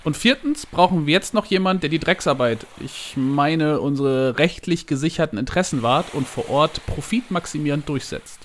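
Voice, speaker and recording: A man voicing a greedy, bombastic trading company boss in an audiobook, pompous and forceful in manner. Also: fairly high pitch, moderate pace, hardly any pauses, slight background noise, pitched above the performer's own voice, natural voice. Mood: commanding. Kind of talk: dramatic audiobook storytelling.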